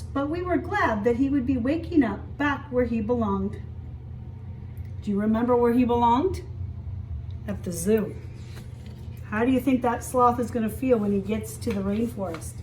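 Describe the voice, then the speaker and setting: A woman reading a picture book aloud as a story time teacher, expressively, pausing to explain and ask young children simple questions. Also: fairly high pitch, a little mellow. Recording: echoey room, distant mic, faint hiss.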